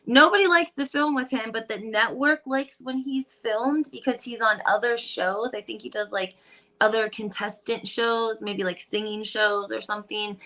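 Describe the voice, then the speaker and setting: Bright chatty woman doing a gossip vlog, reads articles out loud, lots of um and like. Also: on the high side, moderate pace. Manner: chatty, breezy, lots of fillers. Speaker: gossip channel host. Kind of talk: casual gossip chat, reading headlines aloud.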